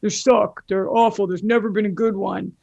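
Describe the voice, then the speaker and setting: Female podcast panelist with gravelly fry, casual and opinionated, loose with profanity, recorded dry. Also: medium pitch, fairly even intonation, normal speed, a little mellow, no room sound, muffled recording. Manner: informal, sweary.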